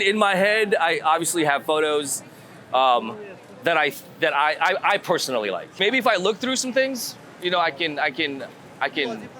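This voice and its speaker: A man's voice: fairly high pitch, bright, forceful tone. A man talking casually and informally as a street photographer being interviewed, thinking aloud in a rambling way with repeated phrases. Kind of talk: casual conversational explanation while out shooting. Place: outdoors on a city street. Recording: outdoor city street with traffic noise.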